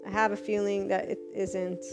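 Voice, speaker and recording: A woman hosting a podcast on a headset mic, speaking loudly and enunciating her words carefully, getting passionate as she goes. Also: mid-range voice, level tone, normal speed, loud music behind the voice. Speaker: podcast host. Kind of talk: scripted intro then casual podcast talk.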